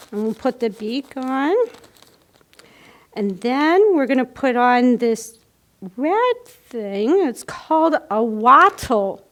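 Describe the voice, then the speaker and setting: A woman hosting a children's storytime, speaking simply and carefully as she reads and explains. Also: high voice. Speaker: library storytime host. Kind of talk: children's picture-book reading and craft instruction.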